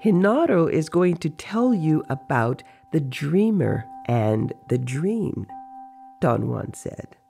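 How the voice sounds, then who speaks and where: Slow, creaky male story narrator. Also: a little high, sing-song, warm tone, faint music behind. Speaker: narrator reading book excerpts. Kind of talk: scripted dramatized narration.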